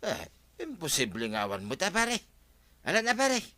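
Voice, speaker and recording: Man playing a wheedling gambler, high and sharp. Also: wildly swooping pitch, fairly quick, no room sound, exaggerated voice. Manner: insistent, whining persuasion. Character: wheedling gambler pestering his friend for a loan. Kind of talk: Ilocano radio-style audio drama acting.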